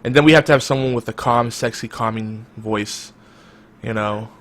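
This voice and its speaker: An energetic male podcast host chatting casually with his co-host. His voice sits at an ordinary pitch, and he keeps the talk loud and lively. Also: moderate pace. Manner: energetic, self-described loud and hype. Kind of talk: casual chat.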